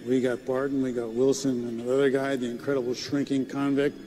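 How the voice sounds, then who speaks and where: A man's voice: medium pitch, flat delivery, a little fast, dark tone, a little hiss or hum, natural voice. An actor playing a smug, dismissive male government official in a film drama, with reverberant sound. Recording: long hall reverb, faint music.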